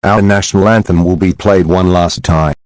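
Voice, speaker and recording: A man voicing a grave, formal emergency broadcast announcer reading a scripted warning in a solemn, measured way. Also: deep voice, fairly even intonation, a little mellow, lightly acted. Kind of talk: scripted emergency alert narration.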